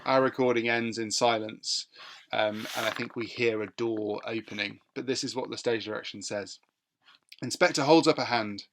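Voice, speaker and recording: A man with a British accent speaking as a teacher and podcast host, explaining things in a measured, somewhat hesitant way. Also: medium pitch, steady tone, background noise.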